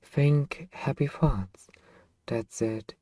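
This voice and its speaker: Man acting a dark, velvety, slow vampire master. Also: mid-range voice, dry recording. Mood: gentle, soothing. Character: possessive male vampire master, hypnotic, doting, anxiously tender. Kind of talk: ASMR roleplay, slow intimate delivery.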